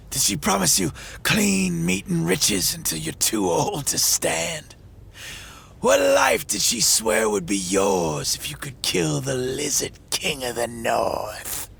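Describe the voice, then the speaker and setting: An actor playing a bitter one-legged monster hunter who boasts loudly and drops into hoarse whispers. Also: male voice, on the high side, sing-song, unhurried, exaggerated voice. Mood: scornful. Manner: blustering, shouting boasts then dropping to hoarse whispers. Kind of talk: audio drama acting.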